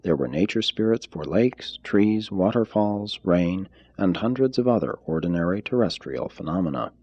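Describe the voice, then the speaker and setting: A man reading a formal text aloud as scripted narration, in a measured, deliberate manner. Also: deep voice, lively intonation, dark tone, no echo. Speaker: audiobook reader.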